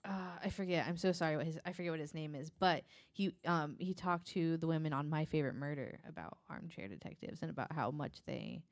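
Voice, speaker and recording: Female co-host, slightly low voice, informal and halting conversational delivery, dry sound. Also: dry recording. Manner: halting, searching for words, informal with occasional swearing. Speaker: podcast co-host. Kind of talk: casual podcast chat.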